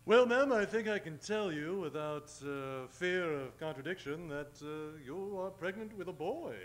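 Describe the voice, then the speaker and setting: A man's voice: a little high, animated, unhurried. A man playing a jovial, patronizing doctor in a parody old-time radio commercial, folksy and reassuring.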